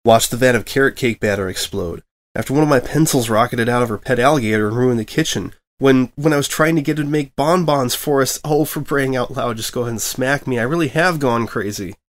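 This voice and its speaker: A man's voice: medium pitch, a little fast, close to an everyday voice. A man voicing a flustered young male pony newspaper reporter who rambles nervously.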